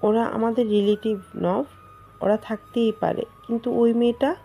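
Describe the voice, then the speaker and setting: Audio drama: woman to play scornful middle-aged matriarch, indignant and hostile, dark timbre on the higher side, light music under. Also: steady tone, normal speed, lightly acted. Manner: sharp-worded, indignant, scornful. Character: haughty, hostile middle-aged mother of the household. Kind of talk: Bengali audio story acting.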